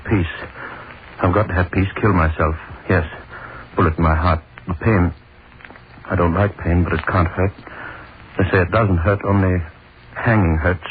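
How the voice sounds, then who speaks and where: A haunted, desperate executioner, played by a man broods in a low, tormented voice. It is 1930s radio drama, thin and tinny with a faint hiss behind it. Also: lightly acted. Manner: tormented, brooding inner monologue. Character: haunted middle-aged male state executioner, desperate. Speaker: actor.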